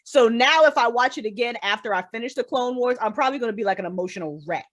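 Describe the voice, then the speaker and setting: A woman's voice: fairly high pitch, fast, fairly bright tone. A woman chatting casually as an interview guest, blunt and emphatic, with slangy phrasing and easy swearing. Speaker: guest, writer and creator of audio dramas. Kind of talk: casual, profane fan chat.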